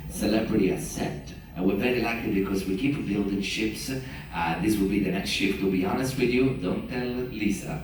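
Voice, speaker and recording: Man, joking cruise director, low voice, far off in a very echoey room. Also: a little hiss or hum. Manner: joking, animated storyteller. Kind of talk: lively onboard talk to an audience. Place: large room on a cruise ship.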